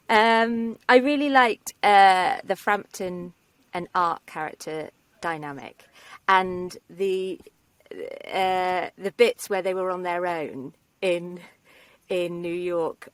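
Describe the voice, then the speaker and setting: A woman's voice: on the low side, no room sound. A woman in her thirties talking casually and animatedly in an interview, recorded in a small vocal booth. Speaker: voice actor, cast member interviewed. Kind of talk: casual chat in a video interview.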